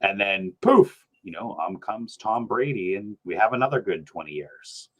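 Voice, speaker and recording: A man, a podcast guest chatting casually, animated and rambling, laughing often. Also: lowish voice, normal speed. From New Hampshire. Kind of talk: casual sports chat.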